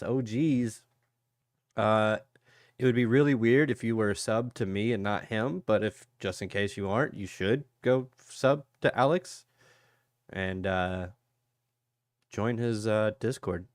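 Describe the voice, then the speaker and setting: A man with a stuffy, sniffly nose hosting a casual crypto livestream, low on energy and rambling as he greets viewers by name. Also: a little slow, dry recording, clean studio sound. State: congested, sniffly.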